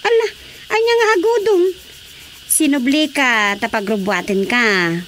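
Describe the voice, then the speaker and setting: High-voiced sassy Filipino young woman. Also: measured pace, fairly bright tone, slight background noise. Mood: scolding, sassy. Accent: Filipino, Ilocano. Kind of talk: Ilocano radio comedy drama acting.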